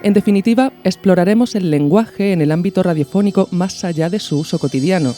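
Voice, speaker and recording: A woman podcast host with a soft, dark-toned voice. She explains in measured, didactic phrases from a script, with faint music behind her. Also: fairly low pitch.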